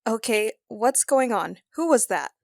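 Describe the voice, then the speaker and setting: A woman's voice: fairly high pitch, lively intonation, unhurried, gravelly, a little mellow, no echo, studio quality. A woman playing a young, curious, casual slicer sidekick in a sci-fi audio drama. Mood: puzzled.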